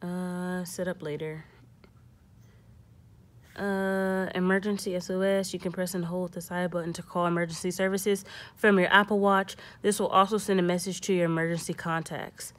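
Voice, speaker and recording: A woman's voice: lowish voice, little pitch movement, normal speed. A young woman hosting a casual step-by-step tech tutorial, thinking aloud and reading prompts in an informal way.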